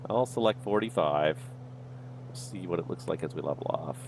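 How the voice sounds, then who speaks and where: A man's voice: deep voice, moderate pace, gravelly, noisy background. A man streaming a flight sim, casually talking himself through each step of the flight as he goes. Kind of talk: livestream commentary, thinking aloud.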